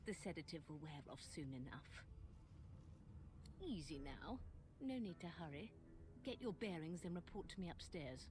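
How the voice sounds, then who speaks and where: A woman's voice: on the low side, lively intonation, normal speed, long pauses, natural voice. A woman doing a smug, mocking prison warden. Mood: mock-soothing. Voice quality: mocking, falsely soothing. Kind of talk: game dialogue.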